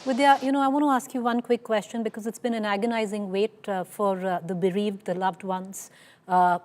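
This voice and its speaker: A woman's voice: mid-range voice, fairly even intonation, a little fast, a little mellow. A woman with an Indian accent presenting live breaking news as a television anchor.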